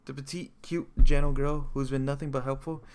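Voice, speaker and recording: A man reading a visual novel aloud as dramatic narration, expressive and sometimes stumbling over the script. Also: medium pitch, a little fast, clean tone.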